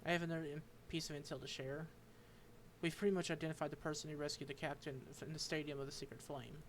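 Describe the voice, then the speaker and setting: A man streaming a game, reading its dialogue aloud and commenting, halting and stumbling over unfamiliar names. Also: fairly high pitch, level tone, slight background noise.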